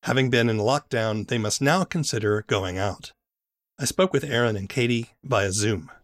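A man hosting a podcast, reading a scripted introduction in a measured narrating style. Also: medium pitch. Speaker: podcast host.

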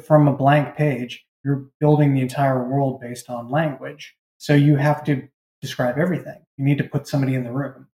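Guy writer chatting casually in an interview, soft mellow tone, medium pitch, roomy echo. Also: flat delivery, normal speed, few pauses. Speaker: audio drama creator and writer, interview guest. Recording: echoey room.

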